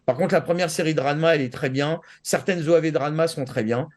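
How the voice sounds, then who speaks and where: A man's voice: on the high side, fast. A man chatting casually and giving his opinions as a panelist, rambling and often restarting his sentences. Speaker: panelist on an anime show. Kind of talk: casual chat giving opinions on manga and anime.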